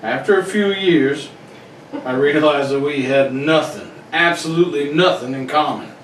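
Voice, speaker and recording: A man's voice: a little high, normal speed, lightly acted. A man playing a proud, defensive wealthy husband with a blunt, formal manner in a radio play. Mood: guarded. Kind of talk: amateur radio-play acting. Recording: roomy, distant recording with faint noise.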